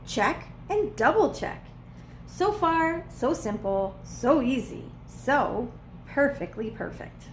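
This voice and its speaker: A woman reading a children's storybook aloud as a preschool teacher would, with expressive, playful emphasis. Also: sits high, takes it slowly, a little hiss or hum. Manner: dramatic, playful emphasis on repeated words.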